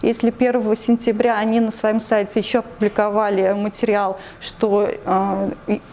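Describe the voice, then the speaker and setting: A woman's voice: medium pitch, level tone, moderate pace, darkish tone. A woman from Tatarstan, an interview guest, explaining her view earnestly with frequent hesitations and restarts. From Tatarstan, Russia. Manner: hesitant, many fillers and restarts. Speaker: interview guest, anti-corruption committee member.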